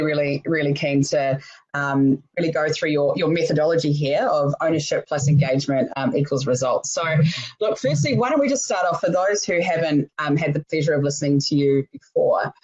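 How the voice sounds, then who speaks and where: Host with a New Zealand accent, middle pitch, chatty, lo-fi call audio. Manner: chatty, many fillers like "um" and "look". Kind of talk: casual interview over a live video call.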